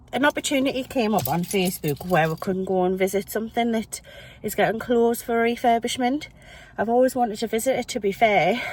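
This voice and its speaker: A woman with a British accent chatting casually in a vlog about her thrift finds, a little snuffly from a chest infection, rambling and correcting herself as she goes. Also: mid-range voice. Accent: British English. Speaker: reselling vlogger.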